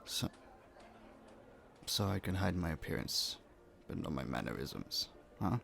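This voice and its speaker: Low, creaky gentlemanly young prince acted by a man. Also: expressive, unhurried, exaggerated voice. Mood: flustered, playful. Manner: playful, polite, slightly flustered, hesitant stammers. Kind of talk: romantic audio roleplay, speaking to a listener. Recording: faint noise, background ambience.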